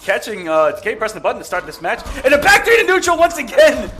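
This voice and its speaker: A man doing excitable play-by-play commentary with technical breakdowns of a fighting game. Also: very high voice, fast talker. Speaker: esports commentator.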